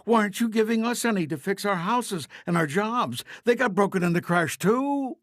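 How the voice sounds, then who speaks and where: A man voicing aggrieved ordinary people who question and protest plaintively, in an animated fairy tale. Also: sits high, moderate pace, no room sound, studio quality, pitched above the performer's own voice.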